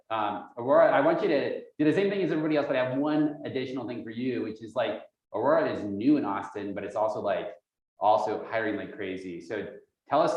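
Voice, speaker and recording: A man moderating a live panel in a casual, chatty way, with rambling informal asides. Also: mid-range voice. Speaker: event moderator. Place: large echoey room at live event. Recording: distant mic in echoey room, dull.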